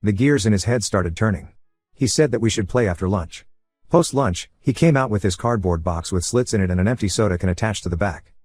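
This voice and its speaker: A man reading a first-person online story aloud as scripted narration, in a quick, even storytelling manner. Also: on the low side, dry recording. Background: faint background music.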